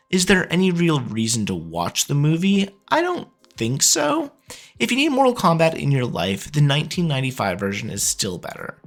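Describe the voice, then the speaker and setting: Male reviewer, thirties, lively pitch swings with some vocal fry, conversational scripted video commentary over music. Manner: opinionated, conversational. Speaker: video essay host, film reviewer.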